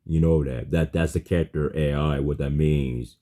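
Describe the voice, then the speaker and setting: A man with an extremely deep, very dark and mellow voice talks casually. His phrasing is halting and repetitive, circling back over the same words in non-fluent sentences. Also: steady tone. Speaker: chatbot user. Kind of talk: casual spoken chat.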